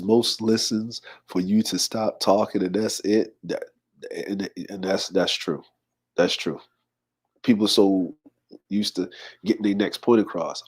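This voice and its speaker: A man of forty hosting a casual livestream, reading viewer comments aloud and greeting people by name. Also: fairly low pitch. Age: 40s. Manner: relaxed, shouts out viewers and reads comments aloud. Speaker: livestream host.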